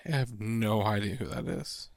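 A man's voice: on the low side, normal speed, gravelly, a crowd in the background. A man co-hosting a casual talk show as a quiz contestant, blunt and unimpressed in his replies. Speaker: podcast co-host, quiz contestant. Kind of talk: casual chat.

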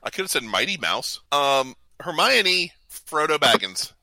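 Male panel host. Geeky, chatty, very sharp bright tone with lively swings in pitch. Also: a little slow, no echo, rough recording. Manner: geeky, reading out matchups and correcting co-hosts. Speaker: podcast co-host. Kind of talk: casual bantering panel chat.

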